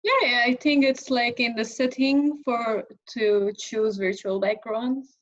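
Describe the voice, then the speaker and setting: Casting: young female student, native Farsi speaker, fairly high pitch, casual workshop chat over lo-fi video call. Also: steady tone, unhurried. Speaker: workshop participant, university student. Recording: dull lo-fi video call audio.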